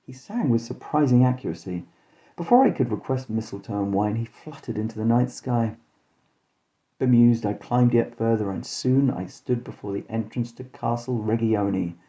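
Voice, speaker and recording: A man hosting and narrating a scripted comic horror story in a deadpan, mock-dramatic way. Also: medium pitch, normal speed. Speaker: podcast host and storyteller.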